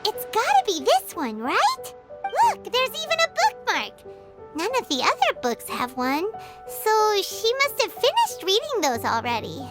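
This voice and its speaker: Bubbly fairy sidekick, very high and wildly bouncy, game music behind. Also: female voice, huge pitch swings, measured pace, heavily acted. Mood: curious. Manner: bubbly, speaks of herself in third person. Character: tiny chirpy female fairy companion, excitable. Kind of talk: video game voice acting.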